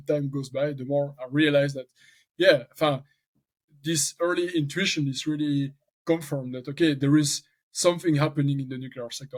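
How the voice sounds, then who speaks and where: A talkative man with a strong Belgian French accent, speaking in a fairly high voice. He thinks aloud as he goes, restarting his phrases in a relaxed podcast conversation. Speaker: venture capital founder, guest. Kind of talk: casual podcast interview.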